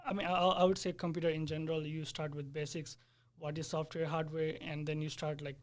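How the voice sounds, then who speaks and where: Young man, flat and earnest. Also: fairly high pitch, a little fast. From Nepal. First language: Nepali. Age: 20s. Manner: earnest, explanatory, searching for words. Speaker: software developer, interviewee. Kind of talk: casual interview.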